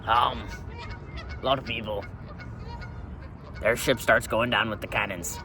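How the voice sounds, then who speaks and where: A man's voice: on the low side, fairly even intonation, measured pace, lightly acted. A man voicing a crude, swaggering, unwashed pirate in a comic monologue, rambling offhand and calling everyone "matey" in pirate slang.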